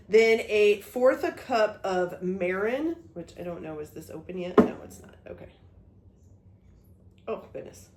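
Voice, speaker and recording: A woman's voice: mid-range voice, slight background noise. A woman whose voice still carries a cold, walking viewers through a recipe in a chatty, easygoing way. She keeps checking in with her audience as she measures and mixes. The sound bounces around an echoey home kitchen. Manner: chatty, talks through each step, frequent "okay?" check-ins. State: recovering from a cold, voice a bit off. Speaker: cooking vlog host. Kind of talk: casual cooking demonstration.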